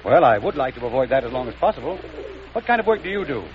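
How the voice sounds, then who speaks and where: A man's voice: medium pitch, big pitch swings, quick pace, crowd noise. An actor playing a polite, formal young man out of work who hesitates, in 1940s radio acting. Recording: dull old radio recording, hiss.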